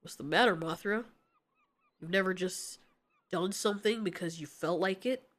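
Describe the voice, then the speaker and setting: A woman voicing a callous male giant reptilian monster in an audio drama, deadpan, dismissive and sarcastic. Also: fairly low pitch, moderate pace. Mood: cool, menacing. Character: cocky, callous male giant reptilian kaiju, coolly menacing.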